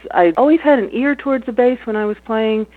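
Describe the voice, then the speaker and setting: A woman with a slightly low speaking voice, a musician chatting casually about her music in an interview, relaxed and laughing easily. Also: a little fast, no room sound. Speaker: musician guest. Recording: tinny phone line.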